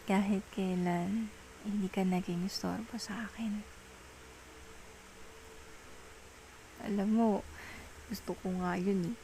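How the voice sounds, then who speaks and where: A woman playing an affectionate, reassuring girlfriend in an ASMR roleplay, with a Filipino accent and soft, breathy speech that slips into whispers. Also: mid-range voice, fairly even intonation, slight background noise, close to an everyday voice, low-quality recording. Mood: fond, teasing. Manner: slow, tender, mixes Tagalog with English. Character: affectionate, patient, reassuring young girlfriend soothing a partner.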